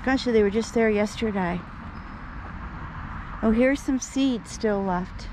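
A woman's voice: fairly high pitch, slow pace. A woman narrating a casual vlog while feeding birds outdoors on a lawn beside a road, chatting to the birds and grumbling about locals. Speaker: vlogger feeding wild birds. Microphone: handheld camera held low. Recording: outdoor noise of traffic and birds.

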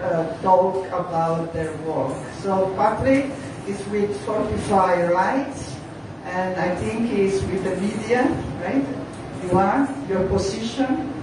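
A woman hosting a panel event, speaking haltingly with many pauses and fillers into a handheld mic. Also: medium pitch. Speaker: event host. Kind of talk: introducing a panel. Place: library event space with audience. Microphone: far camera, handheld mic. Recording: echoey room from far off, noisy.

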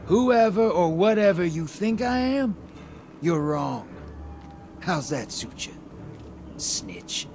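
A man's voice: high voice, sing-song, takes it slowly, long pauses, full character voice. An actor playing a sly, grizzled male gunslinger and smuggler in a video game, talking in a drawling, sardonic, teasing way. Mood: wry. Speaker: game character. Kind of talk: video game cutscene dialogue. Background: game music.

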